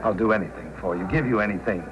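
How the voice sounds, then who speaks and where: An actor playing a scheming, bossy fur thief in an old-time radio drama with commanding delivery. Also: male voice, on the low side, fast, natural voice, low-quality recording. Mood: ardent, boastful. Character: scheming male fur thief and livery man, bossy, smitten. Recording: old radio recording with loud music.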